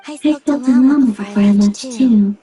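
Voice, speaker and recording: A woman playing a soft-spoken, innocent and gently curious young woman in a video game. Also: a little high, normal speed, soft background music, close to an everyday voice. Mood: calm, reflective.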